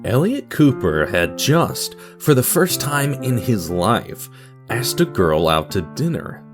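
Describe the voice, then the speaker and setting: A man's voice: mid-range voice, sing-song, takes it slowly, music in the background. A man reading scripted third-person narration as the narrator of an audio story.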